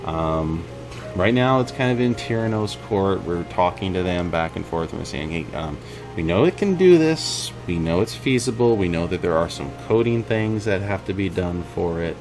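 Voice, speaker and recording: A man's voice: deep voice, lively intonation, moderate pace. A man giving an informal update to viewers as an indie game developer. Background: music behind the voice.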